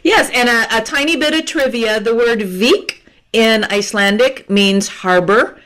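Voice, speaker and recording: A woman's voice: on the high side, a little slow, fairly bright tone. A woman in her fifties, a guest author on a video call, explaining things patiently and laughing often. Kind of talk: conversational interview. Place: room with bookshelves. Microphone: earphone mic on a video call.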